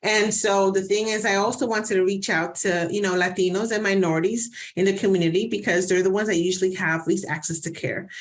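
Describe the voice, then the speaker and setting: A woman's voice: mid-range voice, steady tone, fairly quick, few pauses. A woman physician from New Jersey, whose first language is Spanish, chatting in an interview and telling anecdotes with frequent restarts. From New Jersey, USA. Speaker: physician, guest. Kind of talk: casual interview conversation.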